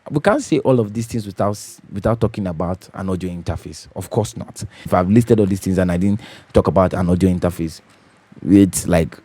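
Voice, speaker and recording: A man with a deep, bassy voice hosting a home recording tutorial, talking casually and repeating his key points as he checks in with viewers. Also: dark tone, no echo. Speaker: host of a home recording channel. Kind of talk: casual tutorial talk to camera. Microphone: studio condenser microphone.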